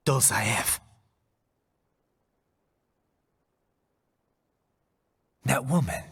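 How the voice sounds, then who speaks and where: Game role: jaded cyborg warrior, very husky growl, dry sarcasm, long reverb. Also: male voice, mid-range voice, lively intonation, unhurried, fairly bright tone, exaggerated voice. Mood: gruff, terse. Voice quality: gravelly, raspy. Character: gruff cyborg swordsman, jaded, sardonic male warrior. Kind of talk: dramatic video game voice acting.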